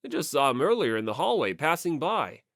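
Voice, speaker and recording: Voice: medium pitch, very animated, a little fast, no room sound, clean studio sound. An audiobook reader voicing an easygoing, confident teenage fortune-teller boy who draws out his words. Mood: breezy, confident. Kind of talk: audiobook character voice.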